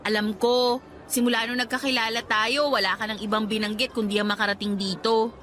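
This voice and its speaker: An actress playing a bubbly, teasing young Filipina friend in a radio drama, with a playful, expressive Filipino accent. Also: female voice, fairly high pitch, fairly quick, natural voice. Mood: probing, reassuring.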